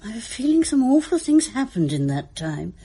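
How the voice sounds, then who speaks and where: An actress playing a frail, kindly old woman in a radio drama, husky, genteel and wistful. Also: fairly high pitch, very animated, a little fast, mellow, heavily acted. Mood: wistful, grave.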